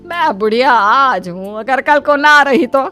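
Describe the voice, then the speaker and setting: Woman acting a melodramatic, high-pitched scheming mother. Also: expressive, a little slow, sharp tone, soft background music, heavily acted. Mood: pleading, insistent. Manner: theatrical, emotionally manipulative, scolding. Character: scheming, melodramatic ageing mother nagging her son to marry. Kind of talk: Hindi animated moral-story acting.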